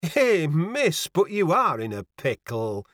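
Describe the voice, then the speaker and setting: A man's voice: a little high, huge pitch swings, slow, a bit bright, dry recording, studio quality, pitched above the performer's own voice, full character voice. A man voicing a fussy, kindly Yorkshire landlady in a storybook, exclaiming in deferential dialect and easily alarmed. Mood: flustered, alarmed.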